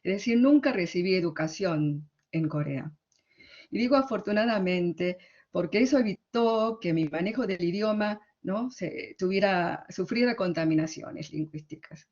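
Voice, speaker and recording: A woman's voice: fairly low pitch, animated, moderate pace, a little mellow. Woman with an Argentine accent, conference speaker, reflective and conversational, with a muffled lo-fi sound. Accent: Argentine Spanish. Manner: reflective, frequent tag questions. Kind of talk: conference talk.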